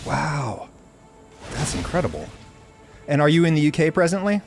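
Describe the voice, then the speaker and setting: A man's voice: fairly high pitch, big pitch swings, moderate pace, vocal fry, faint music behind. An American man hosting a podcast interview, thinking aloud with a lot of ums and uhs. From United States. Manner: rambling, thinking aloud with many fillers. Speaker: podcast host.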